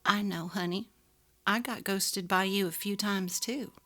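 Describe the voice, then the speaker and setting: A woman's voice: medium pitch, fairly even intonation, measured pace, sharp tone. A woman voicing an affectionate, familiar adult sister in a dramatically read story. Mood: sympathetic. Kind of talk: dramatic reading of a text-message story. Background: music.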